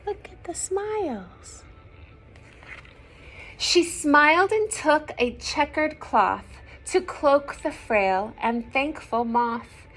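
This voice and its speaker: A woman reading a picture book aloud to young children, expressive and gentle, often dropping into hushed asides to her listeners. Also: high-pitched, takes it slowly. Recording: some background noise.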